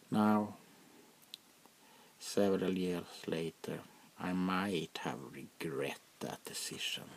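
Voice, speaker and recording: A man playing an arrogant, power-hungry vampire prince in a soft-spoken ASMR roleplay, with haughty dramatic pauses and a gravelly voice that often sinks into whispers. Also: low-pitched, a little hiss or hum, exaggerated voice. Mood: cold, menacing.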